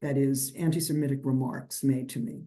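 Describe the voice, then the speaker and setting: A woman's voice: a bass voice, steady tone, brisk, warm tone. An older woman from New York, a historian answering questions at a lecture, talking in a rambling way with many restarts and fillers. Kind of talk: conversational lecture Q&A.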